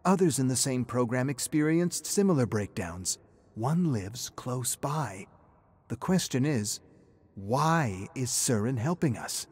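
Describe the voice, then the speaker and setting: Man voicing an insistent young woman, pitched up. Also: animated, slow, a little mellow, slightly rough. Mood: urgent, revealing. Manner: pressing, questioning. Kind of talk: audiobook character acting.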